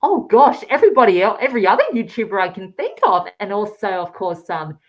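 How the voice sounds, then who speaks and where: A woman's voice: mid-range voice, big pitch swings, brisk. A woman chatting casually as a podcast guest, gushing and effusive in the way they talk. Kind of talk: casual chat.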